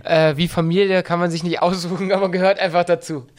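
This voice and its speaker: A middle-aged man with a light Berlin accent, a football coach talking in a casual interview, halting with many restarts and fillers. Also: sits high, level tone. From Berlin-Brandenburg.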